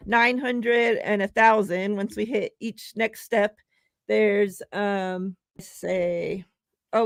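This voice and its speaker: A woman's voice: on the high side, dry recording. A woman hosting a casual live-stream chat, laughing often and reading out giveaway numbers. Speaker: show host.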